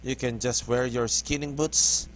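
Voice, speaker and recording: A man from the Philippines with a Filipino accent, English not his first language, giving halting, hesitant commentary over a game tutorial. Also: medium pitch, steady tone, slight background noise. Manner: hesitant, halting, restarts words often. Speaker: gaming tutorial host. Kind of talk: casual gameplay commentary.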